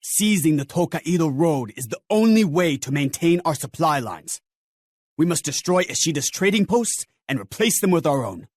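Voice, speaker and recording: A man's voice: a little high, very animated, moderate pace, husky, no room sound, studio quality. A man playing a loyal, proud young samurai general in a game cutscene, speaking formally and solemnly with command. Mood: grave, urgent. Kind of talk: dramatic game cutscene acting.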